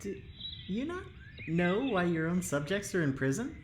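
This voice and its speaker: A man's voice: sits high, big pitch swings, moderate pace, a little hiss or hum. An actor playing a wry, concerned man who monitors a colleague from outside in an audio drama. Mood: probing. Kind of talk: naturalistic audio drama acting.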